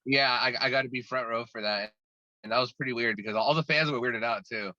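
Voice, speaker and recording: Bright-voiced, talkative man on a dull, dry line. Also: mid-range voice, brisk, dry recording. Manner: talkative and rambling, many restarts. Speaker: guest musician. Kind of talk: casual interview chat.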